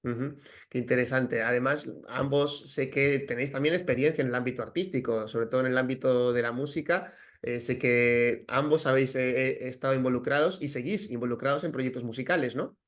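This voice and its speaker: A man's voice: medium pitch, fairly quick. A man with a Spanish accent from Spain, interviewing guests attentively and nudging them on with short affirmations.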